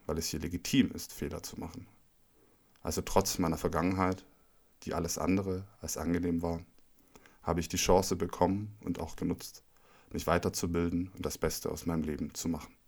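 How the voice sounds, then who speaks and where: A man calmly reading a personal first-person text aloud in a measured, even way. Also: low voice, creaky, slightly rough. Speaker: reader. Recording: intro over zither music.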